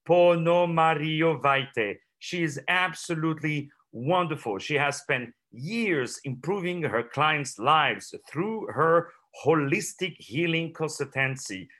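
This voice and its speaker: A man's voice: on the high side, a bit bright. A man hosting a radio interview, effusive and formal, greeting the audience like an announcer. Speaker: interview host.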